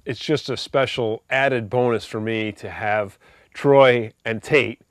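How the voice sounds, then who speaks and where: Male author, mid-pitched and very dry. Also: fairly even intonation, slow pace, no echo. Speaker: author and audiobook narrator. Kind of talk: scripted narration and informal commentary.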